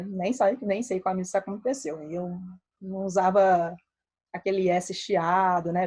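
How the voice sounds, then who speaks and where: A woman in her thirties from Belo Horizonte with a mixed Minas and Rio accent, a statistician giving a relaxed, chatty talk with many fillers. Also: mid-range voice. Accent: Brazilian Portuguese, mixed Minas Gerais and Rio. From Belo Horizonte, Brazil. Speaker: statistician presenting to a meetup. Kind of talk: casual talk.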